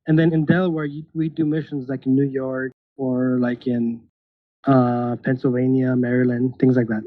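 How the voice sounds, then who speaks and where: A man's voice: medium pitch, little pitch movement, normal speed, no room sound. A man in his thirties, a podcast guest speaking casually with hesitant restarts and nervous laughs. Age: 30s. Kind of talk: casual interview. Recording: tinny phone-like call audio.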